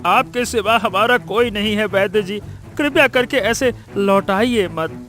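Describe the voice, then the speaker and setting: Cartoon role for a man: poor, humble village father, earnest, high-pitched voice, soft music bed. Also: measured pace. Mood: pleading. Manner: pleading, earnest, repeating himself. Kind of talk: Hindi cartoon story dialogue.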